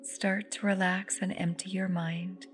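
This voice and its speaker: A woman leading a guided meditation in a soft, soothing, unhurried voice that turns breathy at phrase ends. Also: lowish voice, flat delivery, a little hiss or hum. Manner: soothing, unhurried, with long pauses. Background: meditative music with singing bowls.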